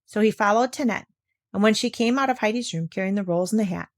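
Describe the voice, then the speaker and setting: A woman's voice: mid-range voice, quick pace, dry recording, studio quality. A woman narrating a children's story, reading aloud from the written text. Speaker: audiobook narrator.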